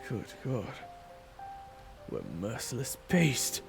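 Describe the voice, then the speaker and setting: A man acts out a gruff working man in an audio roleplay. The voice sits at a middle pitch, blunt and curt, full of distrust and menace. Light music runs behind it. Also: huge pitch swings, takes it slowly, exaggerated voice. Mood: guarded, subdued. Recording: background music.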